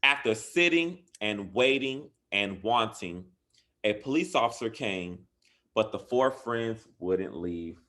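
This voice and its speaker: A man reading a picture book aloud to children in an expressive way, with deliberate, emphatic phrasing and dramatic stresses. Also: lowish voice. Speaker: school educator reading a bedtime story.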